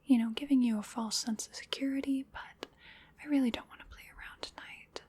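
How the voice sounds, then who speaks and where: A woman voicing a playful, chatty female vampire in a whispered ASMR roleplay, breathy, soothing and wryly polite as she dotes on her prey. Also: high voice, fairly even intonation, brisk, darkish tone, natural voice. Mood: softly businesslike.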